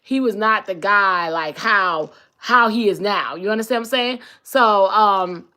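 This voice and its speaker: A woman's voice: mid-range voice, measured pace, bright, forceful tone. A woman with a New York accent hosting a live stream, talking bluntly and profanely as she reacts to viewer comments. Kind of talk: casual live-stream chat reading comments.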